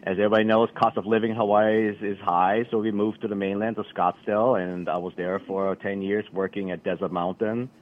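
A middle-aged man from Austria, a country club manager, chatting casually as a radio guest with a relaxed, rambling manner. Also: fairly low pitch, a little fast, hardly any pauses. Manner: relaxed, rambling, many fillers. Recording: dull lo-fi radio broadcast.

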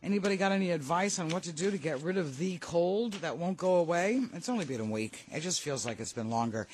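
A woman hosting a radio golf show, chatty and quick to laugh, with a cold. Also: low-pitched. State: has a cold. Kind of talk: casual radio interview.

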